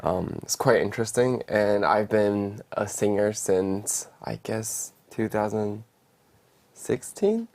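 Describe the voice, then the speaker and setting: A man's voice: lowish voice, expressive, slow, a little mellow. A young man, a guest singer, chatting on a radio show in a hesitant way, with many fillers and restarts. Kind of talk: casual radio chat. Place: radio studio.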